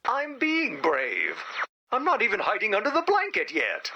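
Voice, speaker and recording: An actor voicing an anxious, childlike, earnest robot in an animated video game, with a timid, hesitant manner and a robotic filter. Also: mid-range voice, normal speed, vocal fry, muffled recording, slight background noise, heavily acted. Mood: nervous. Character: anxious, childlike, well-meaning robot, literal-minded.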